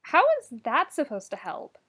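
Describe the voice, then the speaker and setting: A woman's voice: on the high side, sing-song, normal speed, a bit bright. A woman voicing a blunt, defiant young female student who talks in an exasperated grumble. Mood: baffled.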